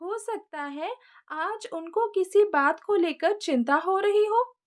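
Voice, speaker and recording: A woman voicing a devout, gentle son in a storybook tale, earnest, respectful and pleading. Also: very high-pitched, slow pace, voice pushed up. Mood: soothing, earnest. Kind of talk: acted dialogue in a moral storybook tale.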